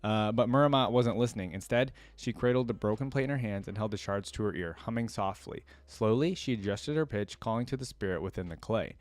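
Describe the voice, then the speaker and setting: A man's voice: fairly low pitch, a little fast, hardly any pauses, no room sound. A man hosting a reaction video, reading lore aloud and adding casual commentary.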